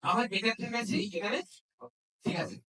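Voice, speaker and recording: High-pitched male instructor, echoey and distant. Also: lively intonation, brisk, bright, forceful tone. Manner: checks understanding with frequent questions. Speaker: phone repair instructor. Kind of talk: hands-on classroom demonstration. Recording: echoey room, distant microphone.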